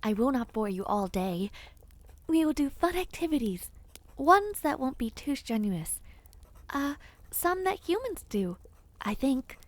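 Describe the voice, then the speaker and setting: Kindly otherworldly witch for audio roleplay, played by a woman: high voice, soothing, old-fashioned phrasing, caring and a little scatterbrained. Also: very animated, measured pace, long pauses, no echo, full character voice. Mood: chatty, fond. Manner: gentle, soothing, old-fashioned formal phrasing, easily distracted. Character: kind, dreamy peculiar witch, otherworldly and caring. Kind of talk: audio roleplay addressed to the listener.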